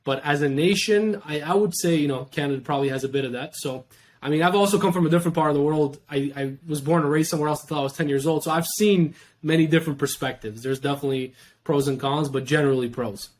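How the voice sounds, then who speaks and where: A young man from Iran, a podcast guest, talking in a steady, reflective way. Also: on the high side, fast talker. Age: young adult. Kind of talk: casual interview talk.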